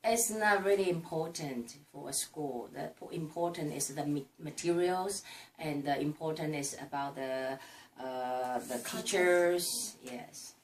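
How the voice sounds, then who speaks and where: Low-voiced woman speaking haltingly from a distance. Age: middle-aged. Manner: hesitant, halting, with frequent fillers. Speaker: interview guest, mother of five. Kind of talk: casual interview chat. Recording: distant mic with faint noise.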